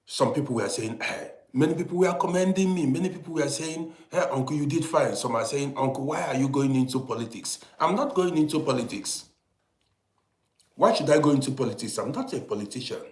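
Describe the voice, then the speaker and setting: Man from Africa, livestream host, fairly high voice, chatty, echoey room. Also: expressive, fairly quick, a little mellow. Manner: chatty, repeats himself, greets commenters by name. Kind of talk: casual livestream chat greeting viewers. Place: lives in Europe.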